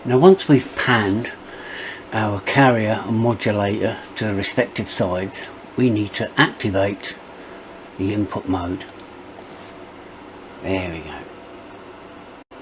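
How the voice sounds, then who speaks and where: Low-pitched man presenting a tutorial. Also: slow pace, long pauses, noisy background. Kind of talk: step-by-step software tutorial narration.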